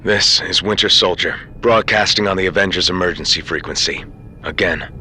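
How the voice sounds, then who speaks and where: An actor playing a gruff, dry-witted soldier in a video game, making a terse, matter-of-fact radio broadcast. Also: male voice, low-pitched, moderate pace, over music, close to an everyday voice. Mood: wry, urgent. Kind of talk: video game cutscene acting.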